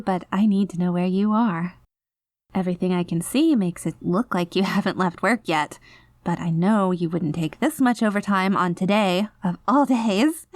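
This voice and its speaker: A woman's voice: mid-range voice, darkish tone, no echo, close to an everyday voice. A woman acting an obsessive yandere girlfriend in a scripted audio roleplay, speaking with over-polite, saccharine menace and barely contained panic. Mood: panicked pleading. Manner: saccharine pet names, pointed over-polite phrasing, veiled threats.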